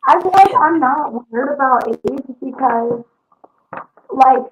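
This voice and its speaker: Emphatic woman, slightly high, lo-fi. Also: expressive, takes it slowly. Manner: frank, emphatic. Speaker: podcast guest. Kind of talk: casual chat. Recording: dull lo-fi sound with faint noise.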